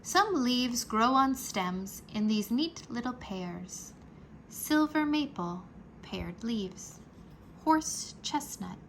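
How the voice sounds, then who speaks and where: A woman reading a children's book aloud like a teacher, expressive and carefully enunciated for young listeners. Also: on the high side, slow pace, slight background noise, poor audio. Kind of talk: children's read-aloud narration, rhyming nonfiction.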